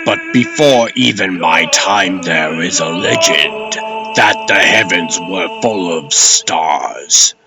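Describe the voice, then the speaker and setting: A man's voice: low voice, takes it slowly, loud music behind the voice. A man playing an old, stern warrior god and lawman in a comic dub, speaking in grave, archaic, commanding oratory. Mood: solemn, commanding. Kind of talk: theatrical comic dub acting.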